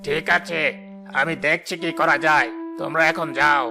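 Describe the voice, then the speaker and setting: A man's voice: fairly high pitch, level tone, normal speed, gravelly, bright, forceful tone, faint music behind, full character voice. A man voicing a greedy, scheming rich village headman in a cartoon moral tale, with a smug, gloating manner. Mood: feigned concern. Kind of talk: animated moral story voice acting.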